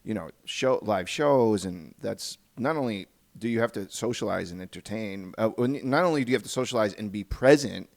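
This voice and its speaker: A man with a lowish voice full of vocal fry hosts a casual podcast conversation. The sound is dry, with no room echo. He feels his way through explanations, halting and rewording as he goes. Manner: halting, rephrases thoughts as he explains. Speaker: podcast host.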